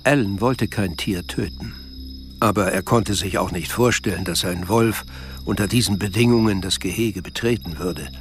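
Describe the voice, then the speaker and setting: A man's voice: low-pitched, moderate pace, faint music behind, close to an everyday voice. A man reading scripted literary narration as the sober storyteller of a radio play. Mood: sober, measured.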